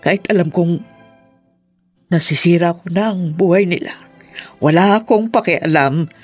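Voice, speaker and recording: Husky middle-aged mom, guilty n possessive, halting radio drama confession, soft music behind. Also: female voice, on the low side. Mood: remorseful, anguished. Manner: halting, confessional, heavy with feeling. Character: possessive, remorseful mother of grown children, anguished.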